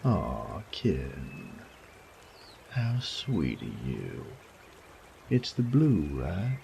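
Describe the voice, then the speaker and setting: Man playing a very deep, gravelly bandit. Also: huge pitch swings, warm tone, a little hiss or hum, full character voice. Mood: teasing. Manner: slow, taunting drawl with folksy, profane phrasing. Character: sadistic male bandit, cocky, teasing, menacing rogue. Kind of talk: intimate roleplay audio drama.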